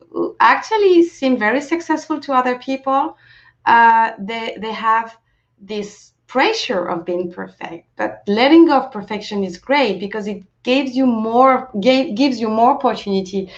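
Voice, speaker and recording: A French-accented woman with a fairly high voice guides a webinar as a self-leadership coach. She talks in a gently encouraging way and thanks people for sharing. Her voice comes through a dull, lo-fi video call. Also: a little slow. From France. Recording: lo-fi webinar audio.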